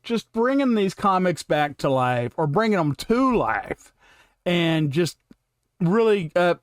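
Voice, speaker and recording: A man hosting a reaction video, giving casual, excited, gushing commentary. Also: high-pitched, slow, dry recording.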